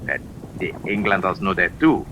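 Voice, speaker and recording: An old, faintly noisy radio drama recording with a scheming German army officer. His voice is lowish and very sharp, clipped and deferential to rank. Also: lively intonation, moderate pace. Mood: eager, reporting.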